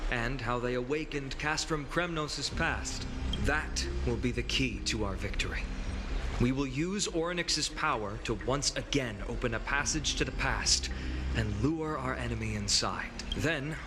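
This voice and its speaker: A man playing a solemn, resolute young fantasy hero in a game, speaking in an earnest, measured, formal way. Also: mid-range voice, noisy background, natural voice. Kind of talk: dramatic game voice acting. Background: background music.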